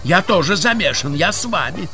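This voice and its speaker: A high, wildly inflected older gentleman, touchy and pompous. He stammers and repeats himself with fussy politeness in a comic audio drama, with music behind. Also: animated, normal speed. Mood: offended, pleading. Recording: music under the voice.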